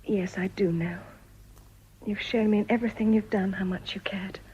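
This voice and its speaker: An actress with an English accent playing a devoted, quietly resolute Edwardian wife in a radio drama, with a breathy voice. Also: female voice, mid-range voice, steady tone, moderate pace. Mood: tender, hushed. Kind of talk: period radio drama acting. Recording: dull, slightly muffled old radio recording.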